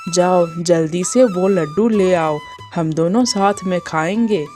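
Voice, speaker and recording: A weary, hard-up farmer husband acted by a woman from a cartoon moral tale. The voice is lowish and very mellow, plainly spoken and downcast, with faint music behind. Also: takes it slowly. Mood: hungry, eager. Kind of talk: cartoon moral story acting.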